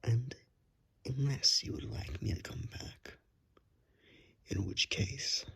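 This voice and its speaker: A menacing vampire, acted out by an ASMR performer speaks in a husky, breathy whisper with a creaky edge. The delivery is very slow and coaxing, courteous on the surface and hungry underneath, as in an intimate horror roleplay. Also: medium pitch, a bit bright, heavily acted. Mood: teasing, pleased. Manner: slow, coaxing, politely threatening.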